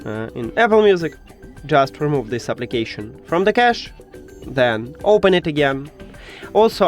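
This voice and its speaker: A man who speaks English as a second language, hosting a step-by-step tech tutorial in a halting, instructional way. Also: fairly high pitch. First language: not English.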